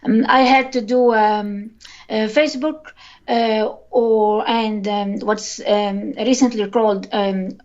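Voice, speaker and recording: A woman's voice: medium pitch, fairly even intonation, a little mellow. Hesitant woman, lo-fi. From Tunisia. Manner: hesitant, halting, with many pauses to search for words. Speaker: guest, linguist. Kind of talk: podcast interview. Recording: dull lo-fi recording.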